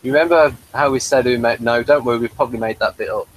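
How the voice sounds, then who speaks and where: A man's voice: mid-range voice, steady tone, fast, poor audio. A man co-hosting a casual review chat, speaking hesitantly with many fillers. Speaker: podcast co-host.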